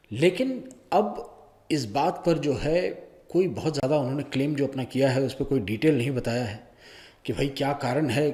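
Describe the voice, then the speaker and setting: A man's voice: fairly high pitch, darkish tone. Man giving conversational news commentary. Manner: informal, opinionated, pausing mid-sentence. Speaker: news presenter and commentator for an online channel.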